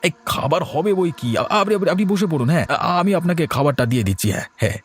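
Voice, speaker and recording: A man's voice: on the high side, lively intonation, racing, natural voice. A man playing a jittery, chatty food seller in a horror cartoon, stammering and wheedling. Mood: eager, hospitable.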